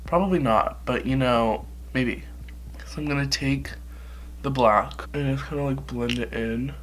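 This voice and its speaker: A man's voice: medium pitch, unhurried, a bit bright. Brief: young male makeup vlogger, sick and nasal, casual profane rambling, home camera mic, faint hum behind. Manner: rambling, profane, filler-heavy.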